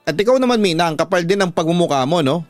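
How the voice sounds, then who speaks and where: A man's voice: high-pitched, animated, fast, no room sound, faint music behind, voice pushed up. A man playing a hardworking, aggrieved daughter, indignant and pleading, in a radio drama. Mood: angry. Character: hardworking, aggrieved grown daughter standing up to father. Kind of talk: Filipino radio drama acting.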